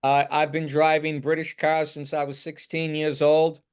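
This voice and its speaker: A man sharing his experience casually in a group call, in a measured, tactful manner. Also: on the high side, monotone, clear, resonant tone. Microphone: conference call.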